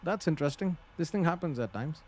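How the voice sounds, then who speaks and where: Higher-pitched man livestreaming a flight simulator. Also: expressive, quick pace, a little mellow, a little hiss or hum. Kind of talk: live game commentary and pilot radio readbacks.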